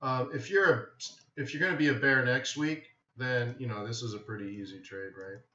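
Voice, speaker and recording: A man's voice: mid-range voice, moderate pace, bright, forceful tone. A man hosting a live webinar with casual, offhand commentary, thinking aloud and often checking in with "right?". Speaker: host of a live trading webinar. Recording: dull, roomy, echoey webinar audio.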